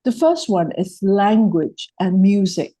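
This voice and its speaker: A woman's voice: mid-range voice, animated, slow pace, mellow, no room sound. A woman with a Hong Kong accent, a native Cantonese speaker, presenting a project in a hesitant way, with many fillers and restarts. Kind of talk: presentation talk.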